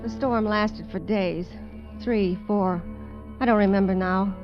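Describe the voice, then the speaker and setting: A woman's voice: medium pitch, fairly even intonation, a little slow, natural voice. An American actress playing a desperate, greedy woman stranded at sea, confessing plainly in 1950s radio drama style. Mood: weary, forlorn. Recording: dull vintage radio, music underneath.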